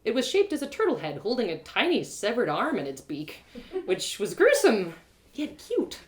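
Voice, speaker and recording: A woman reading her own audio drama aloud live, with an expressive delivery, often laughing at her own lines. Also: a little high, moderate pace. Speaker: storyteller.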